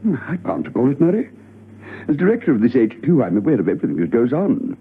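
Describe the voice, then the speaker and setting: A man's voice: medium pitch, huge pitch swings, quick pace, husky, exaggerated voice. An actor playing an officious, evasive government chairman in a radio drama, formal and insistent with a flustered edge. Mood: firm, dismissive. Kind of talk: spy radio drama acting. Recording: thin, phone-like old radio recording.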